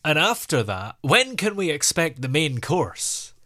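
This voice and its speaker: Male show host, fairly high voice, animated delivery, conversational interviewing. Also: measured pace.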